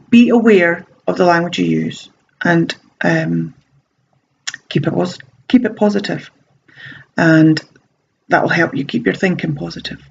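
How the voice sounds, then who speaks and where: A woman's voice: deep voice, gravelly, husky, dull audio. A woman with a slight sore throat giving casual self-help advice, chatty and meandering with frequent ums and restarts. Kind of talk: casual talking-to-camera advice.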